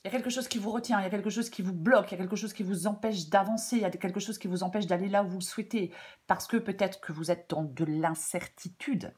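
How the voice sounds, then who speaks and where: Slightly hoarse French woman reading tarot. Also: mid-range voice, quick pace, darkish tone. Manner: hesitant, many fillers and repeated phrases. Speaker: tarot reader. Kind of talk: casual spoken tarot guidance.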